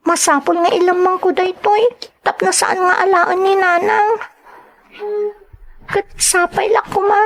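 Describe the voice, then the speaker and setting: A woman playing a poor, hurt but determined young schoolgirl in an Ilocano drama, with a Filipino Ilocano accent and a plaintive, pleading delivery. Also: very high-pitched. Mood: worried. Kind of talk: Ilocano radio-style drama acting.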